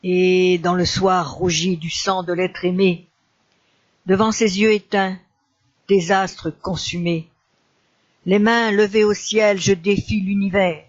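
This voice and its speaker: A mournful enchanter of legend, recited by a man, speaks in a high, slow voice. He is solemn and declamatory, haunted by war and grief. The sound is slightly dull, as in a simple recorded poem. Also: flat delivery. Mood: mournful, anguished.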